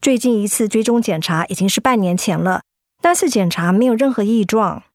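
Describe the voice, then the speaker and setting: An actress playing a calm, professional hospital doctor in a radio drama, measured and reassuring. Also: female voice, mid-range voice, no echo, studio quality, natural voice. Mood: clinical.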